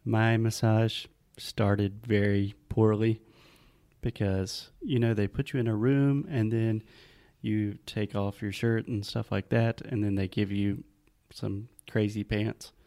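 A man's voice: lowish voice, flat delivery, slow, dark tone. A man co-hosting a casual chat podcast, patiently explaining English pronunciation. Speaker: podcast co-host, English teacher.

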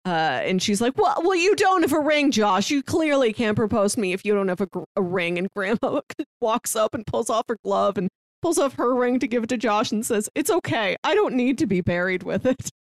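A woman's voice: fairly high pitch, animated, a little fast, no room sound, studio quality. A woman in her early thirties co-hosting a casual film discussion, retelling scenes conversationally with bits of quoted dialogue. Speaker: podcast co-host.

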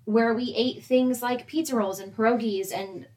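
A young woman co-presenting, talking parents through advice in a steady, explanatory way and addressing them directly. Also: fairly high pitch, moderate pace. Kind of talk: semi-scripted advice talk.

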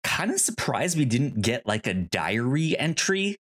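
A man in casual podcast conversation, pitched in the middle range for a male voice. He speaks as a co-host, haltingly, often searching for the next phrase. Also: sing-song, fairly bright tone. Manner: hesitant, halting delivery. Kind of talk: casual movie podcast chat.

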